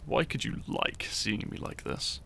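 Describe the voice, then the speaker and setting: A man playing a shy, teasing, lovestruck young hero in an intimate romantic audio roleplay, speaking softly and hesitantly with small stammers. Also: unhurried, slight background noise. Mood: flustered, coy. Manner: soft, hesitant, stammering, breathy sighs and chuckles.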